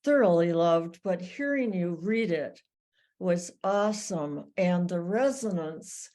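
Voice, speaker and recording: A woman in an audience giving reflective feedback in a measured, earnest way. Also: on the low side, lively intonation, mellow. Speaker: audience member.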